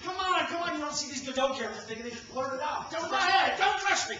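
An actor playing a bickering, profane theatergoer in a loud comic stage play. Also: male voice, extremely high pitch, expressive, fast talker, muffled recording. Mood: exasperated. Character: two bickering male theatergoers, one crude bored skeptic, one earnest explainer. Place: live summer theater stage. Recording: distant, echoey, noisy live theater recording.

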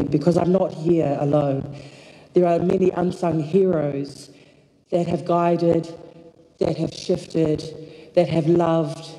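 A woman from Samoa giving a slow, heartfelt acceptance speech at a lectern microphone on a hall stage. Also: sits low, dark tone. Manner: slow, deliberate, heartfelt with long pauses. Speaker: award recipient. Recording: echoey hall, filmed from the audience.

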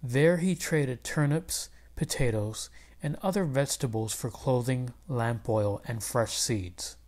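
A woman reads a children's picture book aloud. Her voice sits quite low and moves at a very measured, slow pace, with expressive storytelling delivery. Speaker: storybook reader.

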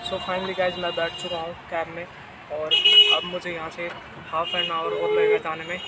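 युवक, ऊँची आवाज़, बिहार से. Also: male voice, on the high side, normal speed, gravelly. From Bihar. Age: young adult. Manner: chatty, repeats himself, mixes in English words. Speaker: travel vlogger. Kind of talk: casual travel vlog. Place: outdoors in the city, then inside a moving car. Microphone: phone held at arm's length. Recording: city traffic noise behind.

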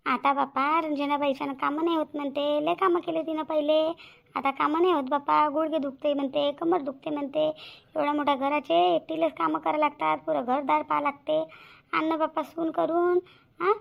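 A woman's voice: high-pitched, gravelly, lo-fi sound. A woman voicing a nosy, coaxing village neighbour in a cartoon, with a Varhadi Marathi accent. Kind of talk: cartoon voice acting.

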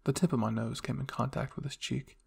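A male narrator reads a first-person roleplay story. His voice is raspy with heavy fry, and his intonation stays fairly flat. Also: mid-range voice, fairly quick. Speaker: fan fiction narrator.

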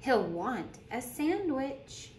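Female read-aloud teacher for picture books, very animated intonation, addresses young listeners, noisy room recording. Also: sits high. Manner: deliberate storytelling with questions to young listeners. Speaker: teacher reading aloud to children. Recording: roomy echo with clear background noise.

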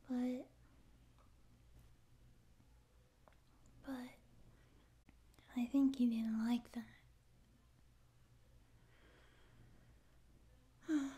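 A young woman acting a naive, pouty stray catgirl in a soft, halting ASMR roleplay voice. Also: high-pitched. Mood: sad, tearful. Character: naive, tearful stray catgirl would-be space pirate. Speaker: ASMR voice actor. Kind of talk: ASMR roleplay audio drama.

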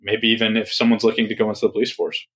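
A man answering interview questions in a relaxed, conversational way, often checking in with "right?". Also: fairly low pitch, racing, husky. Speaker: podcast guest.

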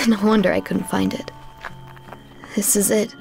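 A woman's voice: medium pitch, steady tone, a little slow, creaky, low-quality recording. A woman voicing a frightened, obsessed young woman in a horror manga dub, trembling and hesitant. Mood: uneasy, subdued. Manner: trembling, hesitant, dread-filled. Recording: loud background music.

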